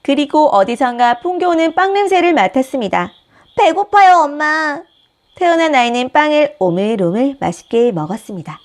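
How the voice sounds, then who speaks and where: A woman reads a children's picture book aloud with gentle, expressive storytelling. Her voice sits somewhat high and moves in a lively way through each sentence. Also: fairly quick, few pauses. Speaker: storybook reader, channel host.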